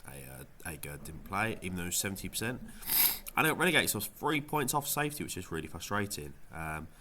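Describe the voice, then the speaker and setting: A deep-pitched man whose voice comes out nasal from a head cold. His tone is bright and forward, and he talks through his results in a loose, rambling way, full of ums and false starts. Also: very animated, quick pace, slight background noise. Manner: rambling, lots of ums and restarts. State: has a cold, sounds nasal. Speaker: fantasy football video creator. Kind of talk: casual gameweek review vlog.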